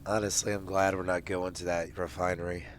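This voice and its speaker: A man's voice: low-pitched, little pitch movement, brisk. A man talking casually in character as a player in a tabletop game. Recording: faint background noise on live stream.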